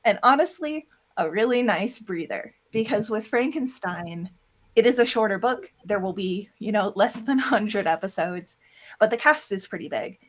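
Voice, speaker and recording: An audio drama producer speaking casually as an interview guest, animated and often acting out little quoted lines. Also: mid-range voice, normal speed.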